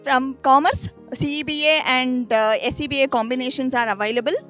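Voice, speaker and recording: Slow, high-voiced Indian woman lecturer. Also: a bit bright, soft background music. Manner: formal, measured, careful. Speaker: college lecturer and commerce coordinator. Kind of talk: prepared institutional introduction in English.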